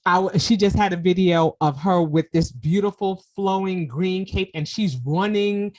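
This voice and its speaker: A middle-aged woman hosts a relaxed podcast chat, her voice sitting a little low. She talks loosely and warmly agrees with her guest, circling back with repeated words and frequent "you know"s. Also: moderate pace. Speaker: podcast host. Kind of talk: casual conversational interview.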